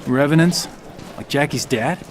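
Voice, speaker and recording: An actor playing a confident young warrior in a video game, with a cocky, blunt and defiant way of talking. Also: male voice, fairly high pitch, normal speed, slight background noise, lightly acted. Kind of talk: cinematic video game acting.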